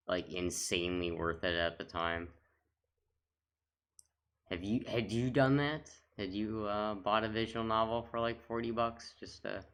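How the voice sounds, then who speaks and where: A man's voice: lowish voice, fairly bright tone, smooth. A man giving casual, off-the-cuff commentary as a gaming video host, talking in a rambling, reminiscing way.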